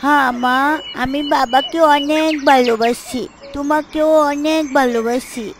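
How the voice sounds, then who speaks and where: Woman playing very high-pitched eager little girl. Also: fairly even intonation, measured pace, noisy background. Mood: eager, affectionate. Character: eager, affectionate little village schoolgirl daughter. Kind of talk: Bengali family story drama acting.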